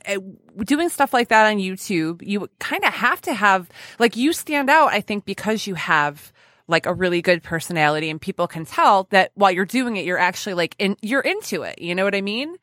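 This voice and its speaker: A woman podcast host with very lively, swooping intonation and a dry, close sound. She chats casually and playfully, gushing a little as she banters with her guest. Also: medium pitch, fairly quick, no room sound. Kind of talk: casual chatty podcast interview.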